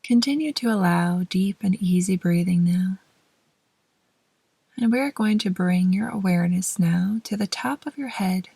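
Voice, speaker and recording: A woman gently leading a guided meditation in a soft, breathy voice that often trails toward a whisper, with measured pauses between short phrases. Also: medium pitch, no echo. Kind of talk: slow, soothing guided meditation narration.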